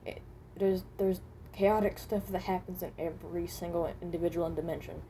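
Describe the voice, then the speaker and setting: A hesitant, mild-mannered traveler from another dimension, played by a woman, speaks in a dark, mellow and fairly low voice. The delivery is halting, with trailed-off phrases and rephrasing. It is an amateur recording with slight background noise. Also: steady tone, natural voice. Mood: hesitant, wistful. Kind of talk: improvised in-character voice lines.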